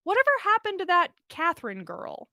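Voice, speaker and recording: A woman's voice: extremely high pitch, big pitch swings, a little fast, bright, forceful tone, no echo, studio quality, pitched above the performer's own voice, full character voice. A woman reading as a confident, bossy young female friend, direct and teasing. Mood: prodding.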